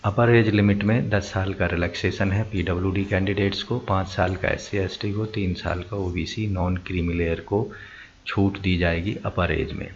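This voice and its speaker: Male Indian explainer voice, very deep, halting read of official notices, dull lo-fi sound. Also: steady tone. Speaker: presenter of job recruitment notices. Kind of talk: informational explainer, reading out notice details. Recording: muffled lo-fi audio with faint noise.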